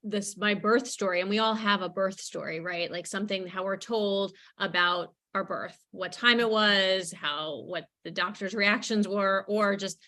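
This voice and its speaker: Bright, forceful woman storyteller. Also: mid-range voice, fairly even intonation, measured pace, few pauses. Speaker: guest speaker, author. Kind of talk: personal storytelling in an interview.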